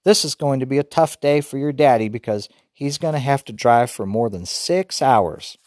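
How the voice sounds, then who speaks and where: A man voicing an organized mother who reads out a trip plan in a brisk, careful, list-like way. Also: mid-range voice, lively intonation, darkish tone, no echo, studio quality, voice pushed up, close to an everyday voice. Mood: planning.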